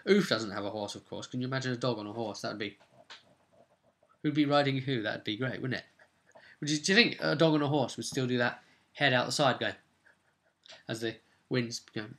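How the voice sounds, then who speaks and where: A man casually recapping his tabletop game as game master, rambling and breaking off into animated asides to viewers. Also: medium pitch. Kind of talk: casual video recap, storytelling.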